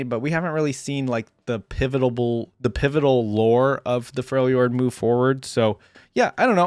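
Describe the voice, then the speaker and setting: A man's voice: medium pitch, smooth, no echo, studio quality. A man hosting a reaction video, giving casual fan commentary on video game voice lines.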